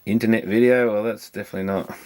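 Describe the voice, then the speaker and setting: A man's voice: lowish voice, fairly even intonation, fast, a little mellow. A man giving casual, hands-on repair commentary, thinking aloud in a rambling, matter-of-fact way as he works through electronics. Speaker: electronics repairer presenting a video.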